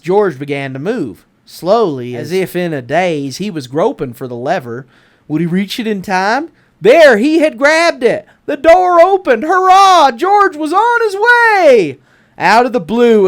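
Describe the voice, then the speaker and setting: A man narrates a picture book for children in a Southern US accent. His delivery is expressive and theatrical, leaning deliberately on key words. The pitch rises and falls in wide, lively swings. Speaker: storybook narrator. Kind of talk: expressive children's read-aloud.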